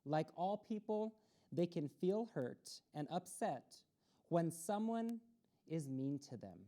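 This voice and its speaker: A woman's voice: fairly low pitch, sing-song, slow pace, warm tone. A woman hosting a children's story time, reading aloud and explaining things simply, posing questions to young listeners.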